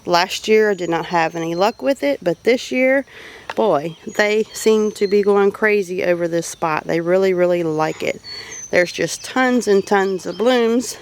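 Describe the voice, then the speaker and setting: A woman's voice: medium pitch, measured pace, a little hiss or hum. A woman with a Southern US accent giving a casual, chatty garden tour in a folksy way. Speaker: homesteading vlogger. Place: outdoors in a home garden. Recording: outdoor ambience with animal noises. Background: birdsong and farm animal sounds.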